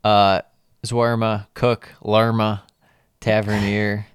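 A man's voice: fairly low pitch, little pitch movement, takes it slowly, clean tone, dry recording, clean studio sound. A man co-hosting a football podcast in casual chat, reading out lineups and joking about player names. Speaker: podcast co-host.

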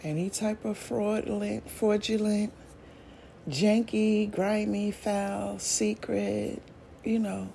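A woman chatting on her own podcast, drawing out her words at an unhurried crawl. Her voice sits at a middle pitch, and her talk stays loose and slangy as she thinks aloud. A faint hiss runs underneath. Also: fairly even intonation, creaky, warm tone. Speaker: podcast host. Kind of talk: casual solo podcast monologue.

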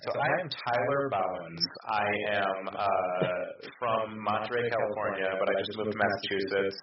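A man's voice: on the low side, moderate pace, roomy sound, distant mic, lo-fi sound, noisy background. A man playing a tabletop game over a voice call, making dry teasing remarks. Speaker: player. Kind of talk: casual tabletop roleplay chat.

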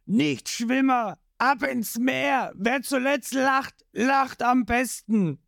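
A man's voice: very high-pitched, takes it slowly, vocal fry, a bit bright, no room sound, clean studio sound, pitched above the performer's own voice, full character voice. A man voicing a boastful, armored male pirate captain who taunts with sneering, gloating lines.

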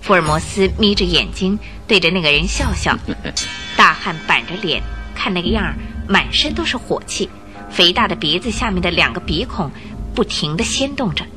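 A woman's voice: on the low side, expressive, moderate pace, music in the background. A woman narrating a radio drama in a dramatic, scripted storytelling style.

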